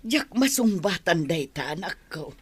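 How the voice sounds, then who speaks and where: A woman playing a fretful, loving older mother in an audio drama, with a husky, breathy voice. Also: lowish voice, very animated, measured pace, dry recording, heavily acted. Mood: fearful, tender.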